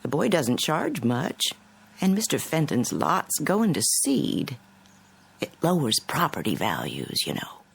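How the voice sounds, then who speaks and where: Middle-aged neighbor lady, husky, varied intonation, polite but insinuating, hesitant. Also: sits low, wildly swooping pitch, a little slow, full character voice. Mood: prim, hesitant. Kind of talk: naturalistic radio drama dialogue.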